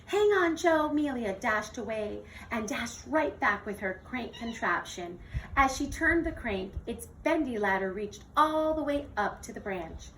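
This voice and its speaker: A woman teacher reading a children's picture book aloud in an expressive, theatrical storytelling manner. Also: on the high side, a little slow. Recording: distant mic with faint noise.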